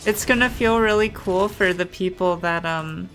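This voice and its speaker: A woman's voice: on the low side, normal speed, fairly bright tone, low-quality recording. A young adult woman giving casual gameplay commentary, rambling and thinking aloud in an informal way. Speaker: gaming streamer. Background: faint game music.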